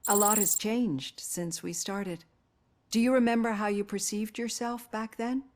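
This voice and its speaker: An actress playing a composed, caring female psychologist in a video game, speaking in a measured, earnest, articulate way. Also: medium pitch, fairly even intonation, darkish tone, lightly acted. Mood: calm, probing. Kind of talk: acted video game dialogue.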